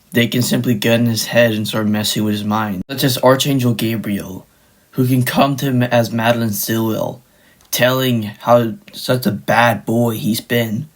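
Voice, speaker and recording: A man narrating a scripted commentary video in the style of an explainer on fictional match-ups. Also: medium pitch.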